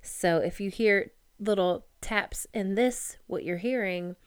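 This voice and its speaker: A woman co-hosting a casual podcast from home on a cheap mic, chatty and quick to laugh. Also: mid-range voice. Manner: chatty, laughs easily, self-deprecating. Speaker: podcast co-host. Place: home, dog nearby. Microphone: cheap microphone.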